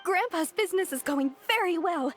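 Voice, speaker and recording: An actress voicing a sweet young village damsel in a video game, theatrical and pleading. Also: female voice, very high-pitched, normal speed, faint music behind. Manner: theatrically tearful, pleading, flattering. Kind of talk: exaggerated video game voice acting.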